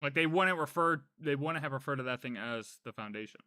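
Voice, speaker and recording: A man giving casual live game commentary, thinking aloud in an animated, cocky way. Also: mid-range voice, fairly quick, dry recording. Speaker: game streamer.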